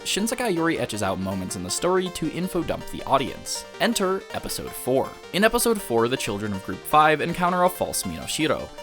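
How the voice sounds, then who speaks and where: Lively, higher-voiced man narrating an essay. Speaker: video essayist reviewing anime. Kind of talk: scripted video-essay narration. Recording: music behind the voice. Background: background music.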